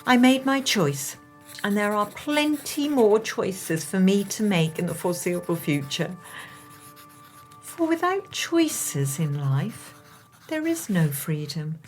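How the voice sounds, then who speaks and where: Actress as slow-speaking, earnest genteel young Englishwoman. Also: mid-range voice, very animated, soft background music. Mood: fond, resolute. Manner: formal, carefully enunciated, heartfelt. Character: earnest, genteel young Englishwoman of 1910s, romantic, independent-minded. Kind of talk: period radio drama acting, diary narration.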